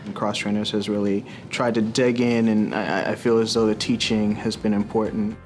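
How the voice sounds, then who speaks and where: A twenty-nine-year-old man giving a measured, slightly hesitant testimonial, pausing on "um" and "I guess". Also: lowish voice, level tone. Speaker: church young adults group member. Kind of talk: testimonial interview. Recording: music bed underneath.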